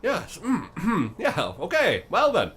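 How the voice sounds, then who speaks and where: A man's voice: high-pitched, wildly swooping pitch, slow pace, fairly bright tone, husky, pitched above the performer's own voice, heavily acted. A man voicing a chatty, offhand undead oracle in a tabletop game, fussy and breezy, with hesitating interjections. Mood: breezy, businesslike. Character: chatty, offhand undead oracle of bone and rock, high-voiced.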